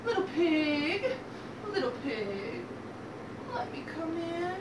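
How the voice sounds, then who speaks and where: A woman voicing a menacing big bad male wolf in a storybook tale, theatrical and drawn-out. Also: very high voice, big pitch swings, pitched above the performer's own voice, heavily acted. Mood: coaxing. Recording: roomy, distant mic, noisy background.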